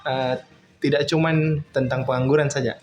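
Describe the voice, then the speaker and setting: Seorang pria muda dengan logat Makassar, membawakan podcast obrolan santai dengan gaya bercanda. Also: male voice, mid-range voice, steady tone, background noise. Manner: playful, joking, quick banter. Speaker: podcast host. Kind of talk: casual podcast chat.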